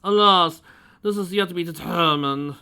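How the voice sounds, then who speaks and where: A woman's voice: lowish voice, moderate pace, sharp tone, smooth, voice pushed up. A woman voicing a pompous older male fashion expert who rolls his Rs. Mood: haughty.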